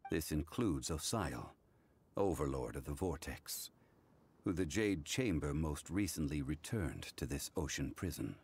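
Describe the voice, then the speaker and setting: An actor playing a calm, solemn ancient man, a wise former god in a video game, who speaks in a formal, measured, reflective way. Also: extremely low pitch, breathy edge, full character voice. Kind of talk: English game voice acting, story dialogue.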